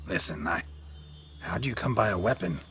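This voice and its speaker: Very deep, terse monster hunter. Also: male voice, normal speed, gravelly, slight background noise. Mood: questioning. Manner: terse, clipped, deadpan. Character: stoic adult male monster hunter, dry and blunt. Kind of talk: video game voice acting. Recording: loud music under the voice.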